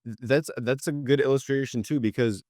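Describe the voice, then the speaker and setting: A man co-hosting a podcast, explaining things casually in a halting, loosely phrased way. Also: mid-range voice, fairly even intonation, a little mellow, no room sound, studio quality.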